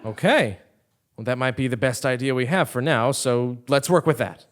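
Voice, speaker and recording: A man's voice: mid-range voice, normal speed, lightly acted. A man playing an exasperated, defiant commoner who leads a scheme, emphatic and incredulous, in a comic stage audio play. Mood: scheming. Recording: live theatre stage recording.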